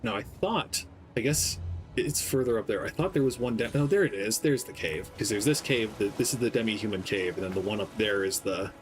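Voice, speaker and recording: A young man streaming a game, talking in a gentle, soft-spoken, rambling way with lots of ums. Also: lowish voice, lively intonation, brisk. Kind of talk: casual gameplay stream chat. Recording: game music playing behind. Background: game music.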